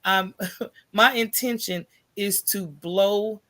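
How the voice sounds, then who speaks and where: An American woman with a medium-pitched voice talks casually as an interview guest. Her phrasing is colloquial and conversational, and she often tags her points with small check-ins to the listener. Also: very slow, a bit bright. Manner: informal, colloquial, checks in with "right?" and "you know". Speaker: podcast guest, coffee business owner.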